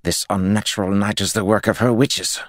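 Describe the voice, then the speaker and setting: A man performs a half-mad noble lord commanding a war camp. He snaps and sputters imperiously, the pitch pushed well up and the words forceful and loud. It is fantasy audiobook drama. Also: steady tone, moderate pace, no room sound, clean studio sound, close to an everyday voice. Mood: crazed, vengeful.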